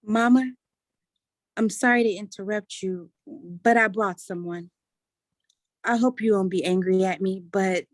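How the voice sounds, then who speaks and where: A woman acting a gentle, pleading grown daughter in a staged reading of a play. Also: mid-range voice, unhurried, pauses often, no room sound, close to an everyday voice. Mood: nervous, pleading.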